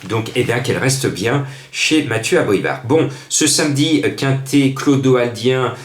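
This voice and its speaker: A man's voice: mid-range voice, a little slow, slight background noise. Echoey, chatty male racing tipster. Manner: chatty, emphatic, repeats phrases for effect. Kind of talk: informal racing predictions, spoken off the cuff. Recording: echoey room sound.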